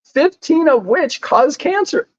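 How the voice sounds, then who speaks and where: A man's voice: very high-pitched, lively intonation, slow, dry recording, highs cut off. A man in a casual podcast conversation, explaining whole foods in a patient way and laughing often. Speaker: lifestyle medicine advocate.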